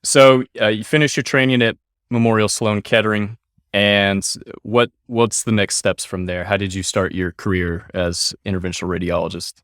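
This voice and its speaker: A man's voice: fairly low pitch, steady tone, normal speed, a bit bright, clear, resonant tone, no echo, studio quality. A young adult man guest-hosting a medical podcast, upbeat and friendly with some fillers.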